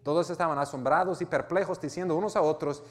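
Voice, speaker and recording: A man's voice: a little high, steady tone, fast talker, in a large hall. A man with an American accent preaching a sermon, reading scripture and explaining it earnestly with frequent restarts. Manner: earnest, with restarts and self-corrections. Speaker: pastor.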